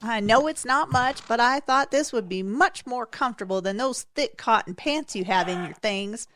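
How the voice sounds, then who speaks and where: A woman's voice: on the high side, animated, normal speed, a bit bright. A woman playing a kindly, apologetic older woman who fusses over a patient in an audio drama. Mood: kindly, fussing. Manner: caring, fussing.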